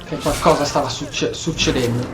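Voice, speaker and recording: A man's voice: medium pitch, fairly even intonation, fairly quick. A man giving casual gameplay commentary, musing and thinking aloud. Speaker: gameplay streamer. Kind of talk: casual Italian-style let's play commentary. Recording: game music behind the voice.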